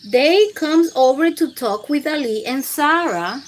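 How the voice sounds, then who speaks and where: A woman's voice: high voice, animated, a little slow, a little hiss or hum. A woman hosting a storytime, reading aloud to young children in simple phrasing and putting questions to her listeners. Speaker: children's storytime host and teacher.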